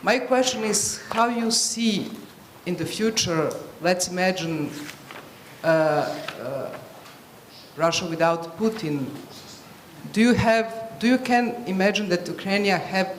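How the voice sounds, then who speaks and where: A woman from Serbia asks a panel a question in a halting way, stopping often to search for words. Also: lowish voice, echoey room, a little hiss or hum. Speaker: audience member asking a question. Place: conference hall.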